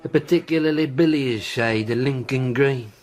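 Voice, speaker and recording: An actor playing a wry, jocular police colleague with an English accent in a naturalistic radio drama. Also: male voice, medium pitch, normal speed, darkish tone, slight background noise, lightly acted. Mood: wry, amused. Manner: wry, bantering.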